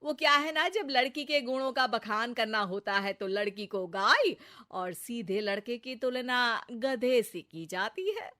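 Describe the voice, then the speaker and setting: A woman's voice: fairly high pitch, a little slow, sharp tone. A woman voicing a sly, scheming relative in a cartoon family comedy. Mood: explaining.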